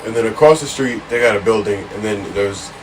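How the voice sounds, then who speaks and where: A man's voice: on the low side, brisk, far from the mic, slight background noise, low-quality recording. A man in his late twenties co-hosting a casual podcast, drawling and laid-back. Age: 20s. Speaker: podcast co-host. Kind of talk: casual chat.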